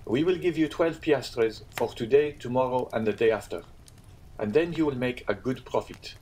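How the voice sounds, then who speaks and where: A man voicing a shrewd young gentleman traveller in an audiobook, speaking in a firm, bargaining manner. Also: mid-range voice, moderate pace, natural voice, rough recording.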